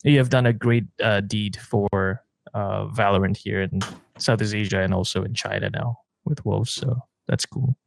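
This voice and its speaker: A man's voice: lowish voice, steady tone, moderate pace, gravelly, slight background noise. A man hosting a casual podcast interview, reacting a lot and asking loose, rambling questions. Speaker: podcast host.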